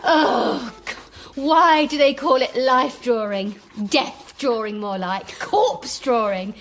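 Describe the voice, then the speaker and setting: A woman's voice: high voice, animated, slow, a bit bright, slight background noise, heavily acted. An actress playing a theatrical, playful, restless young woman, teasing and complaining, in a radio drama. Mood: playful grumbling.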